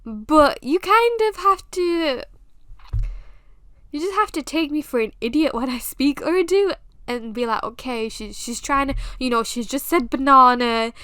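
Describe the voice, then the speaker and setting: A woman's voice: high voice, lively intonation, measured pace, sharp tone, dry recording, clean studio sound. A young British woman with a British accent, hosting a podcast and talking candidly, with self-mocking humor, in a casual monologue. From England. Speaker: podcast host.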